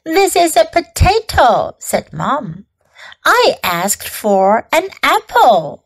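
A woman's voice: high-pitched, wildly swooping pitch, takes it slowly, dry recording, full character voice. A woman voicing an affectionate, bossy storybook mother with a motherly, emphatic way of talking. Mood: calling out, scolding.

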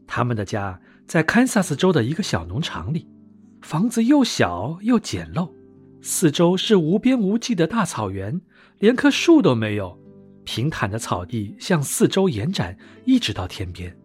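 A man narrating a bedtime fairy tale for young children in an expressive, dramatic storytelling manner. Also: fairly high pitch, moderate pace, slightly rough. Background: background music.

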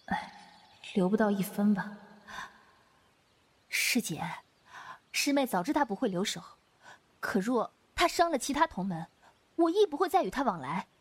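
A woman's voice: fairly high pitch, very animated, moderate pace, pauses often, husky, no room sound. A woman playing a playful, earnest young swordswoman in a Chinese wuxia radio drama, with a hesitant, coaxing, theatrical delivery. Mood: pleading, hesitant.

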